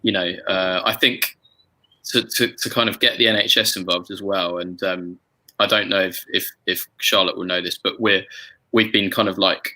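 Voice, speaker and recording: A man in a casual chat about making music, talking haltingly and often repeating words as he goes. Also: low-pitched, fairly even intonation, bright, forceful tone, low-quality recording. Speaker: musician and song arranger hosting a group chat.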